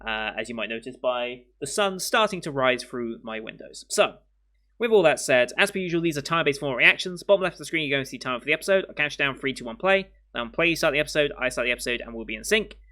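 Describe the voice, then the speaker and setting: A man hosting an anime reaction channel, thinking aloud in casual commentary at home with his voice worn hoarse. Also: mid-range voice, animated, fast talker, bright, forceful tone. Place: home room with windows. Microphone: studio mic in frame.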